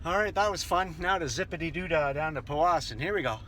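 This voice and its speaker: A man's voice: fairly high pitch, fast talker. A man leading a live sing-along as a cheery, encouraging showman. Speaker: live musical entertainer. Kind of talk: live sing-along show patter and singing. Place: indoor room at a care facility. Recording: distant, echoey room with loud guitar music.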